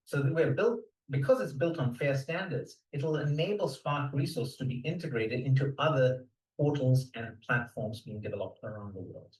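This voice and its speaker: A man presenting his team's software project in a recorded pitch, steady and technical with a few fillers. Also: normal speed, hardly any pauses, echoey room, far from the mic, rough recording. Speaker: codeathon team presenter.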